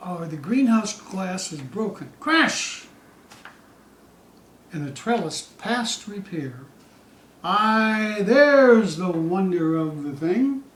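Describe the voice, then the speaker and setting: A man giving a theatrical poetry recital, dramatic and suspenseful, with drawn-out phrases moving between hushed dips and loud peaks. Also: high-pitched, lively intonation. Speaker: poetry reader. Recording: distant, echoey room with faint hiss.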